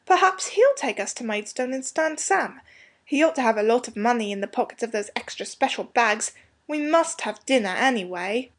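A woman's voice: fairly high pitch, animated, moderate pace. A woman playing a hungry, impulsive young schoolboy in a storybook, blunt and eager in manner. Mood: hopeful. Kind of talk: storybook dialogue acting.

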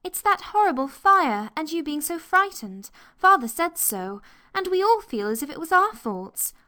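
A woman's voice: sits high, big pitch swings, moderate pace, sharp tone, voice pushed up, full character voice. A woman reading a storybook and voicing a young girl who speaks eagerly and apologetically. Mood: anxious. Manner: eager, apologetic, tumbling words. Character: earnest fretful young girl Anthea.

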